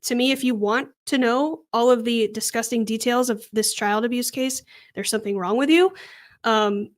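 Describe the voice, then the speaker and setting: A woman's voice: a little high, moderate pace. A woman co-hosting conversational true-crime commentary, explaining her views in an earnest, firm way. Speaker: podcast co-host.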